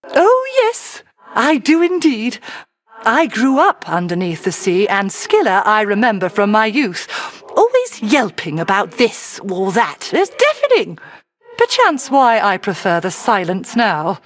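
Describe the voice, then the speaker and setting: A woman voicing a whimsical, doting sorceress in a video game, with an unhurried, lilting delivery and old-fashioned genteel phrasing. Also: mid-range voice, huge pitch swings. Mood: gracious, pleased. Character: whimsical sorceress aunt living alone on her isle, doting, dreamy. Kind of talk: theatrical video game character acting.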